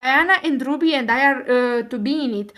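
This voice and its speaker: A woman's voice: high voice, fairly quick, fairly bright tone, voice pushed up. A woman voicing an earnest, dreamy, chattering schoolgirl in a storybook reading, effusive and wordy. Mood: excited.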